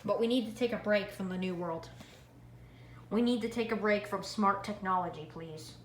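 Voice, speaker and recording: Echoey, excitable young-sounding hobbyist, female-sounding voice. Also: mid-range voice, moderate pace. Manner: excitable, repeats phrases for emphasis. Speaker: vlogger reviewing radios. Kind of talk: casual product review vlog. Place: indoor room at home. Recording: echoey room, background hiss.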